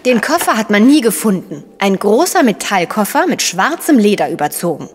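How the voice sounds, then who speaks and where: An actress playing a sharp, sardonic female investigator, questioning and pointed, in a crime radio play. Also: mid-range voice, very animated, normal speed, long reverb tail, faint music behind, lightly acted. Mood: probing.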